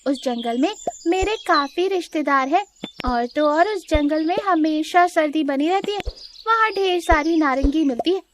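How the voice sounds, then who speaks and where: A vain young doe from a children's cartoon, acted by a woman, pitched high. She is sassy and dramatic, boasting and taunting as she talks. Faint noise sits behind the voice. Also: moderate pace, natural voice. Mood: proud. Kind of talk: Hindi children's cartoon voice acting.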